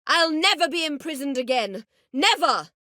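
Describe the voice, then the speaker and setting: An actress playing an imperious, scheming middle-aged sorceress in a video game, speaking formally and with measured, biting sarcasm. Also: female voice, high-pitched, lively intonation, bright, forceful tone, no room sound, studio quality. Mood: commanding, angry. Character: imperious, scheming sorceress, proud, sardonic and vengeful. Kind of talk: video game character dialogue.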